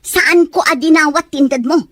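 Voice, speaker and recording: A defensive, nagging mother voiced by a woman in a family radio drama. The voice is high with an Ilocano accent, recorded dry. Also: moderate pace, a bit bright, no room sound.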